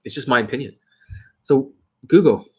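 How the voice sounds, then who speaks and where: A young man speaking straight to his viewers in a blunt, swearing rant. He fires off rhetorical question after rhetorical question in a husky voice at an ordinary pitch. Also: normal speed, darkish tone. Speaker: dating coach. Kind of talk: ranting monologue to camera.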